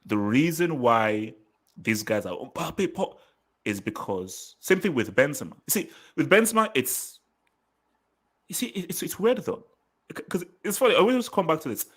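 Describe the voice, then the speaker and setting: A man's voice: a little high, sing-song. Man who grew up in Nigeria. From Nigeria and England. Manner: thinks aloud in halting, restarting sentences. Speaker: podcast co-host. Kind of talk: casual conversational podcast chat.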